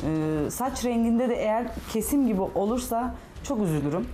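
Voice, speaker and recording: A woman's voice: on the high side, animated, normal speed, over a noisy crowd. Frank woman voicing polite disappointment. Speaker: client judging the haircut. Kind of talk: reality-show critique.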